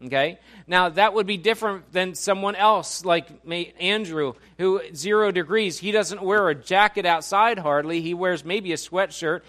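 A man's voice: high voice, moderate pace, hardly any pauses, a bit bright. A man in his forties preaching a sermon in a church auditorium, talking in a conversational, joking way and quizzing the congregation. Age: 40s. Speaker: pastor.

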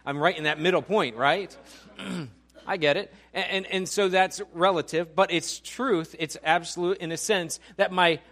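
Man, forties, pastor, high-pitched voice, conversational sermon, playful, questions the congregation. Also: fairly even intonation, a little slow, a bit bright. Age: 40s. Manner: conversational, joking, quizzes the congregation. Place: church auditorium.

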